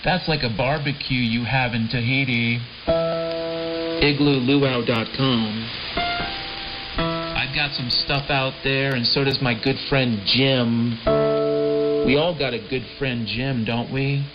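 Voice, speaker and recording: A man's voice: mid-range voice, steady tone, takes it slowly, long pauses. A man with an American accent hosting a rambling late-night shortwave show in a wry, laid-back way, repeating phrases and tossing out rhetorical questions. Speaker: shortwave radio show host. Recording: dull lo-fi sound under prominent keyboard music. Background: piano-like keyboard music.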